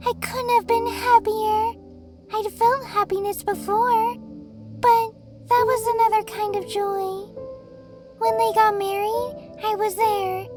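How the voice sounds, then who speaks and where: A very high, girlish and gentle pony mare, speaks with soft, even melancholy. She is a weary, kind figure of Death in an audio drama, heard a little distant over loud music. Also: slow pace. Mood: tender, nostalgic. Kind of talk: audio drama character dialogue.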